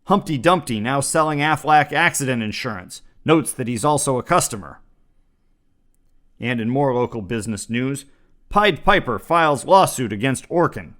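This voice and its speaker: A man's voice: medium pitch, expressive, normal speed, fairly bright tone. A man hosting a comedy show, reading scripted parody news in a dry, mock-serious newscaster manner. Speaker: comedy podcast host.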